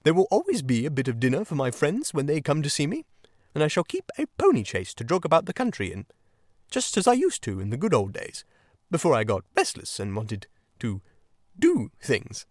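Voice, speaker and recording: High, swooping, gushing toad gentleman. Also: huge pitch swings, fairly quick, no echo, clean studio sound. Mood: breezy, self-satisfied. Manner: gushing, plummy, melodramatic. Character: boastful, excitable, theatrical male toad gentleman. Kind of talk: audiobook character acting.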